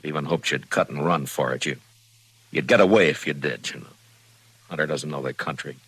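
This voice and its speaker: An American man in a vintage radio western, playing a steady, conscientious frontier marshal with a plainspoken, halting, grave manner. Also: very deep voice, husky, dry recording, exaggerated voice, rough recording. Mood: grave, torn. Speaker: radio actor. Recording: vintage radio recording, dry studio sound.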